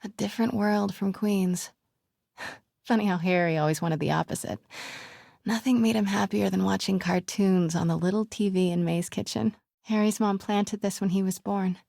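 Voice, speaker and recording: A woman voicing a curious, caring young reporter in a video game, musing aloud to herself in a natural, soft voice that often drops to a hushed murmur. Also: medium pitch, fairly even intonation, moderate pace, gravelly, dry recording, clean studio sound. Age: young adult.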